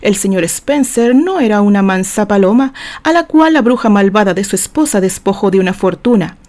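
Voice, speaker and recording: A woman's voice: medium pitch, animated, normal speed, darkish tone, natural voice. A woman voicing an assured male defense attorney in an audio novel, arguing formally and emphatically like a courtroom orator. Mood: assured, pointed.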